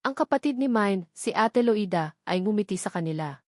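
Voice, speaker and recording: A woman storyteller reads a romance drama in a measured, emotive way. Her voice sits at a mid pitch, and the recording is dry and close. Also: lively intonation, a little mellow, no room sound. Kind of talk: scripted dramatic narration.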